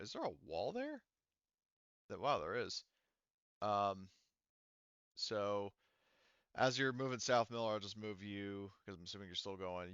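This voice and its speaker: A man with a low, clean voice acts as game master, describing scenes off the cuff. He gropes for words as he goes. The sound is close and dry, though slightly dull. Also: a bit bright, clear, resonant tone, no echo. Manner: halting, searching for words while describing scenes. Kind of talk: improvised narration of a tabletop roleplaying game.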